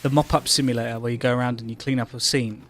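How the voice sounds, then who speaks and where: A man's voice: medium pitch, steady tone, quick pace. A man co-hosting a live game stream in casual, rambling, sweary chat. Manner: rambling, hesitant phrasing, sweary. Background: game music.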